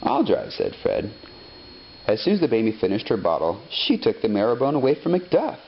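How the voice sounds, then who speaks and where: A man narrating a children's story expressively, reading deliberately phrase by phrase. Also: lowish voice, very animated. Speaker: storybook reader. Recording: dull lo-fi sound, faint noise.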